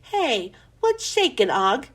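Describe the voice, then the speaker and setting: A little storybook hamster, played by a woman, chirpy and eager to please. The voice is high and bounces widely in pitch, with emphatic, sing-song phrasing and a friendly, slightly prissy air. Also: big pitch swings, takes it slowly, a bit bright, breathy edge, heavily acted. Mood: perky, friendly. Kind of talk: children's storybook read-aloud.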